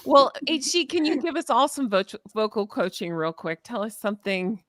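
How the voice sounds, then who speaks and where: Fast-talking woman host, high voice. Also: very animated, a bit bright. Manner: fast-talking, upbeat, laughs easily. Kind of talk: casual chat.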